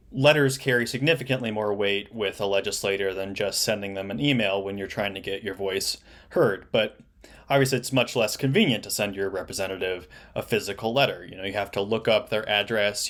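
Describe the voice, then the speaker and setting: A man's voice: lowish voice, fairly bright tone. A man giving an explanatory slide presentation about a project.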